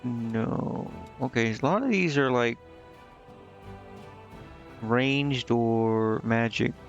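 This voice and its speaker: A man streaming a video game, casually thinking out loud and reading menu text to himself, with some offhand swearing. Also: medium pitch, drawn-out words, highs cut off, soft background music. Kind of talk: casual live gameplay commentary, muttering and reading menu text aloud.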